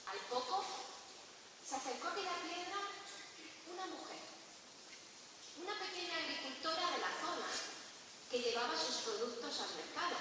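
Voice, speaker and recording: A high-pitched woman with a Spanish accent addresses a gathering, telling a story in deliberate, emphatic phrases. She is far from the microphone, in a hall with heavy echo. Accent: Spanish, from Spain. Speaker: politician giving a speech. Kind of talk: public speech with storytelling. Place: large reverberant hall at a public event. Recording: very echoey noisy hall, distant microphone.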